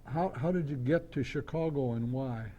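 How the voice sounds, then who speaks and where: A man interviewing a guest informally at a club meeting, haltingly working from notes and rephrasing his questions. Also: dark tone. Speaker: interviewer at a radio history club. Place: club meeting before a small audience. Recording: rumbly room noise behind the voice.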